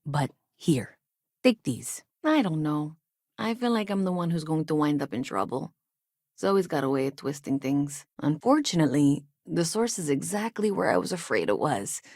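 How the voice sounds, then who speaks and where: A woman's voice: sits low, lively intonation, moderate pace, a little mellow, dry recording, clean studio sound. An actress playing an anxious, earnest, chatty woman engineer in a sci-fi game, conversational and hesitant with wry asides. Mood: uneasy, hesitant. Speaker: video game character. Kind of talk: naturalistic video game dialogue acting.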